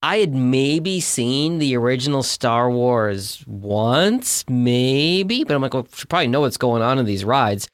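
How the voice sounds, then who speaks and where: Casting: man, forties, podcast co-host, chatty and upbeat, mid-pitched, dry close sound. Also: expressive, a little slow, hardly any pauses, clear, resonant tone, dry recording. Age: 40s. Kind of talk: casual chat.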